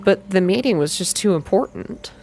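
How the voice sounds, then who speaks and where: A woman reading a story aloud in an ASMR style, with expressive, deliberate first-person narration. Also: mid-range voice, vocal fry, slight background noise. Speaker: reader of a visual novel. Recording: soft music behind the voice. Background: background music.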